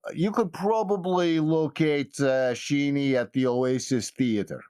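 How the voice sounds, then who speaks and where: Man putting on a measured, courteous fixer. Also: a little high, a little mellow, clean tone, no echo, clean studio sound, close to an everyday voice. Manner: measured, hesitant, formal phrasing. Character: polite, thick-accented male fixer who spells out names.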